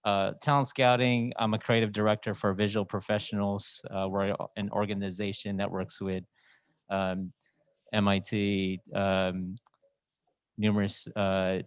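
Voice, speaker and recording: A man with a low, fairly even voice speaks on a video call. His tone is clear and smooth, and he introduces himself hesitantly, like a teacher feeling his way through it. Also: no echo. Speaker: high school teacher.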